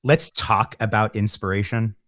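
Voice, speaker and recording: Deep-voiced man in reflective conversation, close dry sound. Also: fairly even intonation, normal speed, fairly bright tone, no echo. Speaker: interview guest, musician.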